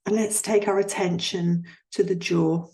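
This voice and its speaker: Woman with a lowish voice doing guided relaxation, gentle and soothing, lots of long pauses. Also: steady tone, poor audio. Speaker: yoga nidra relaxation guide.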